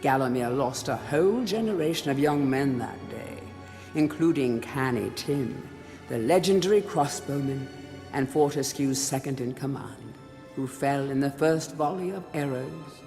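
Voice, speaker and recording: An actor playing a grave chronicler of an old fantasy kingdom, narrating a game's storybook history in a solemn, theatrical way. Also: male voice, medium pitch, animated, unhurried, darkish tone. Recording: long hall reverb, music underneath. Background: music.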